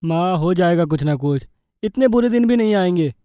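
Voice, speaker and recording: A woman's voice: lowish voice, lively intonation, normal speed, warm tone, dry recording, natural voice. A woman voicing a concerned young adult son in a moral story, earnest and questioning. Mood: reassuring.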